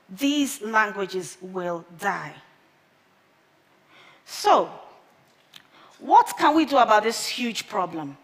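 A woman in her thirties, a native Igbo speaker, giving a prepared talk on stage at a measured pace with careful pauses, on a headset mic. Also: a little high, fairly bright tone. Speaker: conference speaker. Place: large theater stage with audience. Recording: long hall reverb from a big theater.

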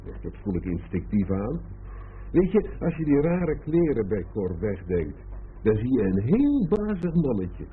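A man's voice: mid-range voice, very animated, unhurried, husky, full character voice. An actor playing a wry, possessive middle-aged father in a radio play, sardonic and teasing, full of rhetorical questions. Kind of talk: naturalistic radio play acting. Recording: tinny, band-limited old radio recording with noise.

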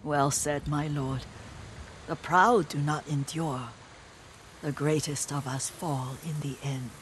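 A woman playing a frail, wise old caretaker in a video game, speaking gently in a breathy voice that often fades toward a whisper. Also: low voice, steady tone, slow pace, a little hiss or hum. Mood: wise, reflective. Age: older. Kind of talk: video game cutscene acting. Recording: music behind the voice.

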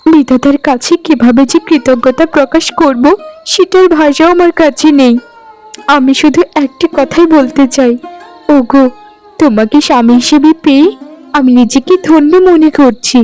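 A meek young village wife from a cartoon fairy tale, acted by a woman. She speaks in a very high, earnest and plaintive voice, full of devotion, over faint music. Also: moderate pace, a little mellow, natural voice, low-quality recording. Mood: grateful, tender.

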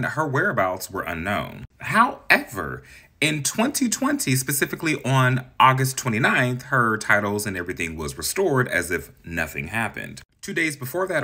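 Chatty gossipy man with a bright, husky voice. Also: mid-range voice. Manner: chatty, dramatic, gossipy asides. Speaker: video creator. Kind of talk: casual explainer vlog. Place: green-screen setup, indoors.

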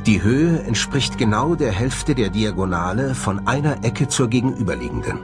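A man's voice: lowish voice, sing-song, normal speed. A man delivering scripted narration for a documentary. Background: background music.

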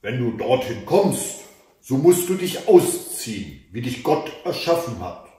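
A stern yet compassionate old monk from a fairy tale, read by a male storyteller gives admonishing counsel. He speaks slowly and deliberately in a very echoey room. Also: medium pitch, a little mellow. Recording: echoey room, mic at a distance.

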